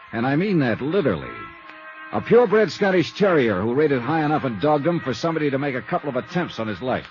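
A man playing a brisk, hard-boiled American insurance investigator in 1950s radio style, clipped and wry as he narrates and talks. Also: mid-range voice, natural voice. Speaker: lead actor and narrator of a radio detective series. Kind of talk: 1950s radio acting, scripted first-person narration. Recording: muffled vintage radio broadcast with music.

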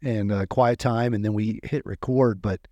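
A man's voice: on the low side, steady tone, normal speed, warm tone, no echo, highs cut off. Dry-recorded man chatting as podcast co-host. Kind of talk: casual podcast chat.

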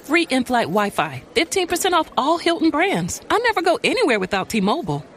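An actress playing a cheerful, over-prepared traveler in a commercial. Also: female voice, sits high, big pitch swings, fairly quick, fairly bright tone. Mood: perky. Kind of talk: commercial acting.

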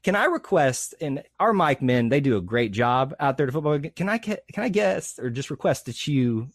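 Appreciative interviewer, dry sound. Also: male voice, medium pitch, very animated, no echo. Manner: warm, appreciative, halting restarts. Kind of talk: casual interview chat.

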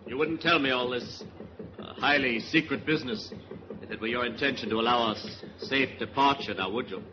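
A man acting a shrewd, cool-headed boat skipper in 1940s radio drama style, measured, commanding and dryly taunting. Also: mid-range voice, close to an everyday voice. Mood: cool, suspicious. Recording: muffled, noisy 1940s radio recording.

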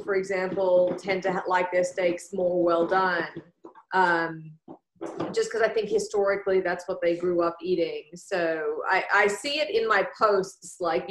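A woman's voice: lowish voice, slight background noise. A woman from Australia with an Australian accent, talking casually as a guest in an interview. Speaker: podcast guest, barbecue cook. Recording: roomy, echoing sound.

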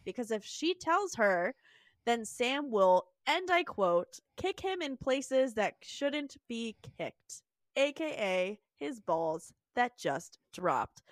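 A woman co-hosting a comedy podcast who talks slowly and lets her words stretch out. Her voice sits a little high, and she laughs often between crude jokes. The sound is close and dry, with no room around it. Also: sing-song, no echo. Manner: giggly, crude jokey banter. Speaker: podcast co-host. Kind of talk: casual comedic recap chat.

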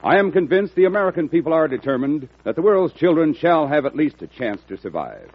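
A man's voice: on the high side, very animated, fairly quick, husky. A man reading a formal, earnest charity appeal as a radio announcer. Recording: tinny old radio broadcast.

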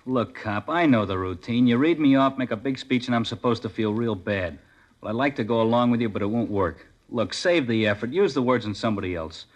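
A man's voice: medium pitch, animated, brisk, lo-fi sound, natural voice. An actor playing a sarcastic, defiant sixteen-year-old delinquent boy in a 1950s police drama, sneering through his answers.

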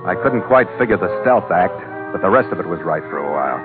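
A tinny vintage radio recording with loud music features a tough American sea captain. His low voice is terse, wary and sardonic, in hardboiled 1940s radio style. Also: lively intonation, quick pace. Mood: brooding narration. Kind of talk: 1940s radio acting, hardboiled first-person narration.

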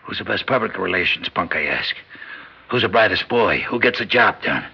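A gravelly, badly husky old American mob boss, dying but still full of menace and bluster. He talks low in tough, bullying street slang, like classic radio drama on a dull recording. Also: lively intonation, normal speed, exaggerated voice. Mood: sneering.

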